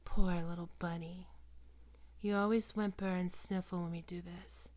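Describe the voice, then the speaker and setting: A woman playing a possessive, predatory female vampire in an ASMR roleplay, coaxing and cooing in a breathy voice that often drops into whispers. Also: lowish voice, steady tone, a little mellow, natural voice. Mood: condescending, possessive. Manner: slow, cooing, condescending endearments.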